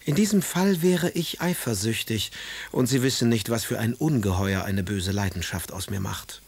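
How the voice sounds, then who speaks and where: An actor playing a witty, melancholy young poet in a radio drama, reading letters and verse in an ironic, theatrical manner. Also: male voice, fairly low pitch, moderate pace, gravelly, breathy edge. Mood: ironic, arch.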